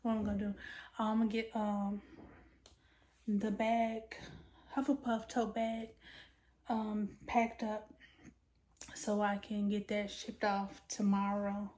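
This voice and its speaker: A woman, chatting casually about her crochet work in a rambling, informal way while her voice is a bit hoarse. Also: medium pitch, slow, pauses often. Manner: relaxed, rambling, many ums, informal slang. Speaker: crochet vlogger. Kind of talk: casual chat while crafting.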